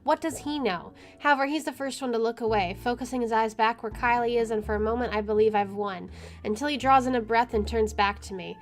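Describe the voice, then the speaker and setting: High-voiced woman reading game text aloud. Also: brisk. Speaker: gaming video host.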